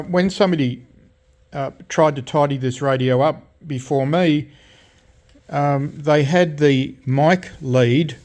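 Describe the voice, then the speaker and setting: A man shows off a restored CB radio in a casual workshop walkthrough. He speaks at an ordinary middle pitch, stopping often for ums and restarts as he explains each fix in plain technical terms. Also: darkish tone. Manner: halting, many ums and self-corrections. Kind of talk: casual workshop show-and-tell.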